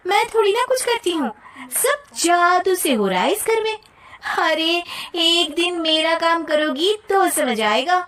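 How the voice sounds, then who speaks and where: A woman's voice: very high-pitched, lively intonation, fairly bright tone, voice pushed up, heavily acted. Woman putting on a sassy young daughter-in-law. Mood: sarcastic. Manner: sarcastic, quick retorts.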